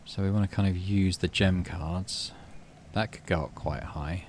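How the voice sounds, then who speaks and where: A man's voice: low voice, fairly even intonation, measured pace, a little hiss or hum. A man casually commentating on a game, thinking aloud in murmured asides and reading story text out. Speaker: gaming channel host.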